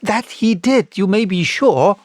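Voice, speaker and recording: Man as a sharp, earnest lad in a storybook adventure, pitched up, eager delivery. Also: animated, a little slow, no echo. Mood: insistent. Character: eager young boy narrator, earnest and sharp. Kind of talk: audiobook character dialogue.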